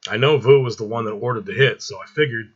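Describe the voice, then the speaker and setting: A man's voice: mid-range voice, quick pace, breathy edge, lo-fi sound, lightly acted. A man voicing a blunt, hot-headed, cocky teenage boy in a story read aloud. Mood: determined.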